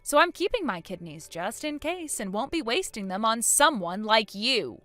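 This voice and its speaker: A woman's voice: on the high side, sing-song, normal speed, pushed and bright. A woman playing a protective, outspoken mother in a melodramatic audio story, speaking earnestly and with emphasis. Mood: cold, scornful. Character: fiercely protective, outspoken, emotional mother of a young son.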